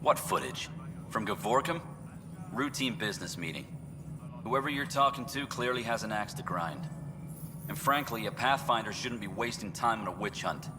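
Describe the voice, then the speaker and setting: A man plays a smug colonial official in a video game, evasive and self-important. His voice is on the low side, ringing in a long reverb with clear noise around it. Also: normal speed, lightly acted. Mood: defensive, dismissive. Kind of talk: scripted game dialogue.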